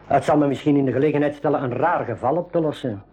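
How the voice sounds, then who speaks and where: An actor playing an affable, helpful game warden and guide in a school radio drama, speaking in a measured, explanatory way. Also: male voice, mid-range voice, dark tone, husky, natural voice. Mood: serious, explanatory.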